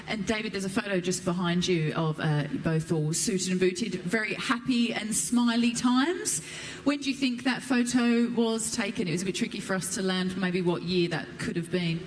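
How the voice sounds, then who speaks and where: A woman's voice: medium pitch, normal speed, few pauses, slight background noise. A woman hosting a live stage interview, asking polite and playful questions. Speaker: interviewer host. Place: stage at an outdoor motorsport festival. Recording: long reverb, faint ambience.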